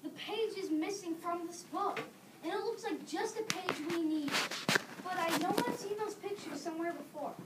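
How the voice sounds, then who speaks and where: A woman's voice: extremely high pitch, moderate pace. A young woman playing a resourceful, confident schoolboy in readers theater, with a plain, earnest delivery. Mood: excited. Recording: distant echoey room, clear background noise.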